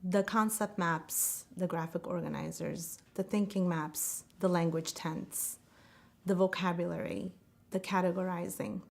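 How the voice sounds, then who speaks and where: A woman whose first language is Turkish, a teacher explaining her class and guiding students with clear, measured talk. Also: fairly low pitch, fairly even intonation.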